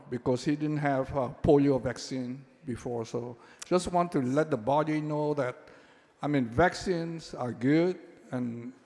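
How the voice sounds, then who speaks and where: Slow-speaking man in a reverberant chamber. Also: medium pitch, darkish tone. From Vietnam. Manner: slow, halting, repeats words. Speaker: state representative. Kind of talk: floor debate questioning. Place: large legislative chamber.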